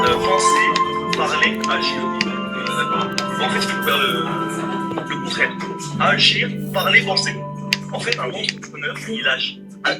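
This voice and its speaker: A man giving a motivational talk about taking action, hesitating and repeating his words with many fillers. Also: a little high. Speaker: entrepreneur giving advice. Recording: distant video playback with loud music. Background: loud music.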